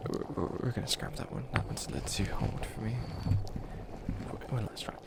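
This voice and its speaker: A man acts out a bumbling male witch who dreams of villainy, with a crackly, fry-edged voice. He stammers and rambles defensively straight to the listener in a comedic ASMR roleplay, over clearly audible watery background noise. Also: on the low side, sing-song, a little fast. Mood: frustrated. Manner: stammering, rambling, easily flustered.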